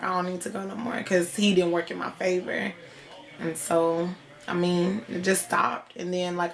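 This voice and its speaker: A woman in her twenties answering interview questions casually, with many fillers. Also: fairly low pitch. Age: 20s.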